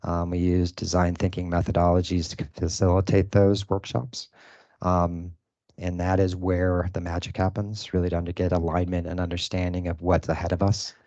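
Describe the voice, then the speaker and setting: A man presenting at a design meet-up, with a very low, flat voice. He talks in a relaxed way and pauses on many fillers. The sound is dry and a little dull. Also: dry recording. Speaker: design presenter and event host. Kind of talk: conference presentation. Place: event venue.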